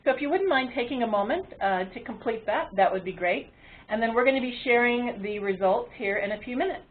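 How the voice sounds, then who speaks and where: A woman's voice: fairly high pitch, expressive. Woman presenting over a phone-like line. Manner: halting delivery with frequent ums and uhs. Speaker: webinar presenter and moderator. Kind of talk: webinar presentation, explaining to an online audience. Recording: muffled, phone-like webinar audio line.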